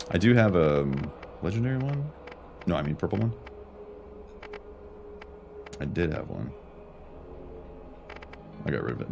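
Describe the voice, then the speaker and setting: A man streaming a video game, chatting casually in an informal, slangy way as he muses and comments on the game. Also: deep voice. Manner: informal, slangy, conversational musing. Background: video game music.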